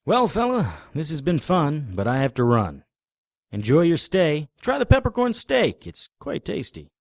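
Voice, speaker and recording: An actor playing a wisecracking, world-weary occult investigator, narrating and trading lines in a noir-style audio drama. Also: male voice, a little high, huge pitch swings, measured pace, gravelly, no echo, exaggerated voice. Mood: mocking. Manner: sardonic, flippant.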